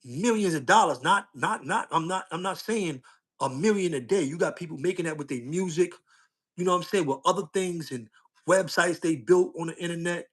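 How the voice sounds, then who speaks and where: Street-talking man, higher voice. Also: fairly quick, husky. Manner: street slang, loops back with "you know what I'm saying". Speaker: social media streamer. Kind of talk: casual livestream talk.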